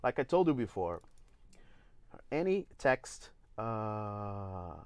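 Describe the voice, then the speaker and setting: A man from Brazil with a Brazilian Portuguese accent talks through a live software tutorial. His voice sits a little low, recorded close and dry in a studio. He fills pauses with "uh" and often restarts mid-sentence. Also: very animated, clean tone, no echo. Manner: explains step by step with frequent "uh" and restarts. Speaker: engine evangelist giving a demo. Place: indoor studio.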